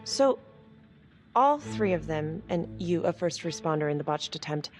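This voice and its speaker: A woman's voice: low voice, animated, normal speed. A woman acting a composed, probing district attorney in a crime game, cool and direct in a naturalistic manner. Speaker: video game actor. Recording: faint music under the dialogue. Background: background music.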